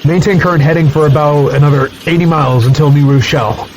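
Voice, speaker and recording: An actor playing a brisk, businesslike air traffic controller with a clipped official manner in an audio drama. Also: male voice, on the high side, steady tone, darkish tone, lightly acted. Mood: businesslike, urgent. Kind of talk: audio drama acting, radio procedure.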